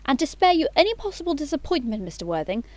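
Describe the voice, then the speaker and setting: A woman's voice: sits high, sing-song, fast, no room sound. A woman acting an imperious, romantic young Victorian society lady in an audio play, with precise, affected, self-assured speech. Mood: haughty, romantic.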